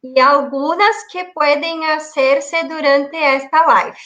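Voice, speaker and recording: A woman's voice: high-pitched, sharp tone, dull audio. A woman from Brazil, a native Portuguese speaker, speaking Spanish with a Brazilian Portuguese accent as a formal webinar moderator. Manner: formal, careful, deliberate. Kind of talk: formal read-out introduction. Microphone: video call.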